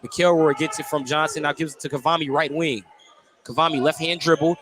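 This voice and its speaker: A man doing live basketball play-by-play for radio, in clipped descriptive phrases that lift with excitement on big plays. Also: fairly high pitch, fairly quick. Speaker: play-by-play radio sports announcer. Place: indoor basketball gym, courtside. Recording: noisy live gym ambience behind commentary.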